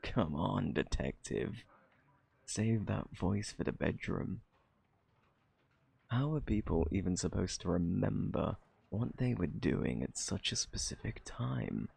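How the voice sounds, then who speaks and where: A man acts out a smug British thief in an intimate audio roleplay. His voice is low and husky, full of heavy creak. He takes his time, drawling out coy, flirtatious taunts. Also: sing-song, takes it slowly, a little mellow, heavily acted. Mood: mock-innocent. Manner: teasing, drawling, theatrically coy. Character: smug, flirty male thief teasing a detective. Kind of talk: flirty ASMR roleplay acting.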